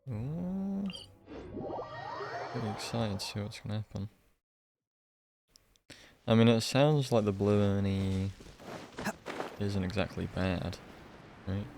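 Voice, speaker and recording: A man's voice: fairly low pitch, slow, long pauses. A man casually commentating his live gameplay as a streamer, musing in a laid-back way. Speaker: game streamer. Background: game music.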